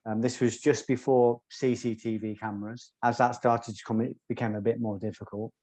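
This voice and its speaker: A soft-spoken man, a guest telling stories in an interview in a measured, articulate way. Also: medium pitch, flat delivery, dry recording. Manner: measured, articulate, occasional ums. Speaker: guest, former doorman. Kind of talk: reflective interview storytelling.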